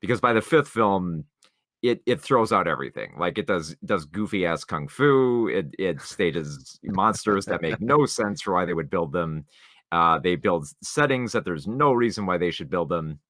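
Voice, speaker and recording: A man co-hosting a podcast, discussing films casually in a rambling, halting way with the odd swear word. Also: deep voice, animated, fairly bright tone, no echo, studio quality. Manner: rambling, halting, informal with profanity. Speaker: podcast co-host.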